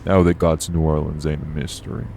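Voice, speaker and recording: A guarded, streetwise vampire on the road, played by a man in an audio drama, with an extremely deep, mellow voice. He talks plainly and steadily with folksy dropped endings, over faint vehicle noise. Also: little pitch movement, quick pace, creaky. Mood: calm, wary. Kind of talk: audio roleplay acting.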